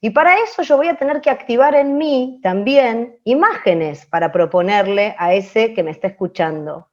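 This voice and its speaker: A woman's voice: fairly high pitch, moderate pace, a little mellow, muffled recording. A woman explaining in an open class as a teacher, reflective and emphatic, repeating key phrases for stress. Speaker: radio language teacher.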